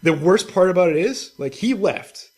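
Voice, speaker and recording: A man's voice: sits high, expressive, normal speed, darkish tone. A man co-hosting a gaming podcast, telling stories casually in a profane, exasperated, ranting way. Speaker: podcast co-host. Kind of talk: casual chat and storytelling.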